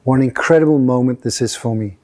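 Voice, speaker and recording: Man in his sixties, mellow dark voice, measured acceptance speech. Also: medium pitch. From Yorkshire, England. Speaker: whisky distiller.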